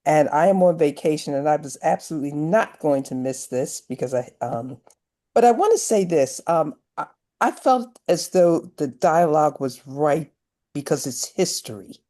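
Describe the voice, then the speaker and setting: A woman's voice: sits low, expressive, normal speed, dark tone. A woman giving earnest, emphatic feedback as an audience member on a video call. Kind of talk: earnest spoken comment.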